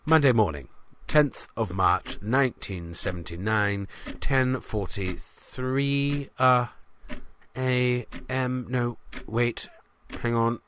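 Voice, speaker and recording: A man playing a pompous, bumbling small-town newspaper man who rambles in a deadpan way through a comedic audio diary. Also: medium pitch, takes it slowly, noisy background, lightly acted. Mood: self-important, rambling. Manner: rambling, self-important, deadpan. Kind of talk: comedic audio diary monologue in 1970s setting.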